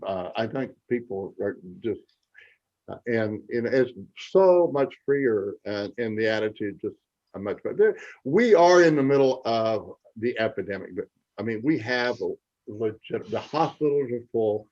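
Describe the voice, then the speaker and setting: A man in his eighties, host of a newsletter, chatting casually in a rambling way with restarts and emphatic outbursts, getting over an illness and clearing his throat. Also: fairly low pitch, animated, moderate pace, darkish tone, highs cut off. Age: 80s. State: recovering from covid, clears throat. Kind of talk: casual chat. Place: Mexico's Baja coast.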